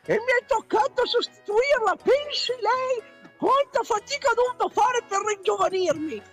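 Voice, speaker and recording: Uomo che fa un vecchio nonno brontolone dalla voce acuta. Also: male voice, very high voice, animated, moderate pace, a bit bright, faint music behind, voice pushed up, heavily acted. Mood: grumbling. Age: elderly character. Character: doddering, foul-mouthed, complaining old grandpa.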